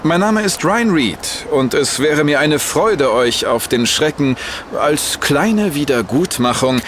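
A man's voice: a little high, expressive, slow pace, a bit bright, slight background noise. An actor playing a wealthy, polite businessman who charms a woman he nearly ran over. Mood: charming. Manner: polite, charming, switches into English. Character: wealthy smooth-talking businessman, adult man.

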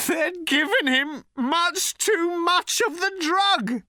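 Frantic, high-pitched, half-mad journalist. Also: male voice, sing-song, fairly bright tone, dry recording, studio quality. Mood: anguished. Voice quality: whimpering, hoarse whisper rising to strained high cries. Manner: halting, repetitive, overwrought.

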